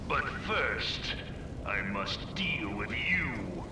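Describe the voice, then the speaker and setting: A man voicing a proud, stern warlord in a video game, measured and formal in speech. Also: big pitch swings, exaggerated voice. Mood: challenging. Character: proud, imperious armored male warlord, grave and menacing. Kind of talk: video game villain acting. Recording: echoey, distant, noisy with rumble.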